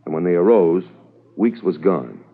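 An actor playing a brisk, authoritative police commissioner in a 1950s radio drama, methodical and official. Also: male voice, low voice, animated. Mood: businesslike, briefing. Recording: muffled, thin old radio recording.

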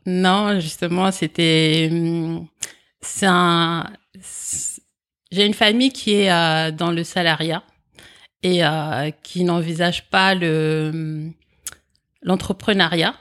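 A woman in her forties from the Comoros, a company founder being interviewed, answering in reflective, halting phrases with frequent pauses. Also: fairly low pitch, fairly even intonation, a bit bright. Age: 40s. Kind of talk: conversational interview.

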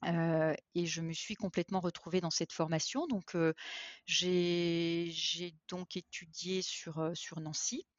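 A woman's voice: fairly low pitch, little pitch movement, measured pace, dry recording, highs cut off. A woman in her forties from eastern France, a podcast guest telling her career story with many fillers and restarts. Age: 40s. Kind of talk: interview conversation.

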